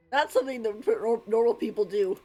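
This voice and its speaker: A woman's voice: fairly high pitch, mellow. A woman live streamer giving casual, amused commentary on a game in a giggly manner. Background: faint game music.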